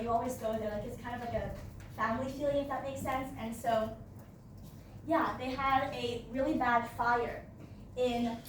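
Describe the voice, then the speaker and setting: A woman's voice: a little high, very echoey, distant mic, slight background noise, poor audio. A Brazilian teenage girl giving a class presentation, chatty, with lots of fillers and "like". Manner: casual, many fillers and "like". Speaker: student presenter.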